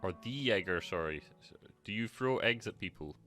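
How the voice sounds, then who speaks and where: A man giving casual live-stream game commentary, halting and stammering as he playfully banters with chat. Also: on the low side, lively intonation, pushed and bright, soft background music.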